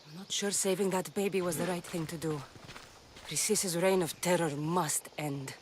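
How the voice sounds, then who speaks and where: A woman's voice: fairly low pitch, steady tone, normal speed, a little hiss or hum, lightly acted. A woman playing a fierce, defiant young mercenary in a video game, blunt and intense. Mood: grimly resolved. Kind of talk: dramatic video game voice acting.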